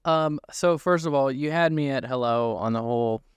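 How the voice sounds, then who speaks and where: A man's voice: mid-range voice, moderate pace, clear, resonant tone, dry recording. A man co-hosting a casual racing podcast, reasoning aloud and analysing as he goes, rephrasing his points along the way. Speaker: podcast co-host and racing driver. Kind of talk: casual sports podcast discussion.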